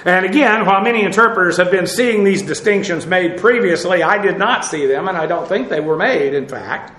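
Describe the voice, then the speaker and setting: A man preaching a verse-by-verse sermon, teaching in a measured way, with frequent fillers and restarts. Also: sits high, lively intonation, fairly bright tone. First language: English. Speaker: pastor. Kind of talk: expository sermon. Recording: echoey room.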